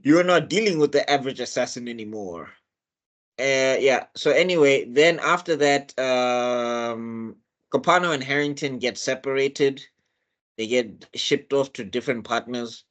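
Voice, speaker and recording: A man's voice: mid-range voice, measured pace, fairly bright tone. A man casually recapping a TV episode as co-host, rambling with lots of fillers and restarts. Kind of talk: casual chat.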